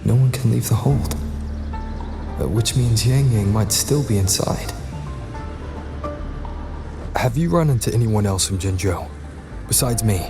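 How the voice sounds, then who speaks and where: An actor playing a calm young male wanderer, the hero of a video game, speaking in a composed and direct way. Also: fairly low pitch, measured pace, vocal fry, long pauses. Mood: concerned. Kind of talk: English game voice acting. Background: game music.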